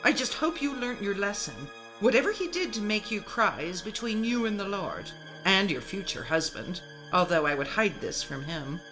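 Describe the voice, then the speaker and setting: An actress voices a stern, suspicious mother in a radio play. She is sharp-tongued and commanding, with lively swings of pitch. Music runs clearly behind her words. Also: normal speed, natural voice, rough recording. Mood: scolding. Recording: music under the voice. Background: music soundtrack.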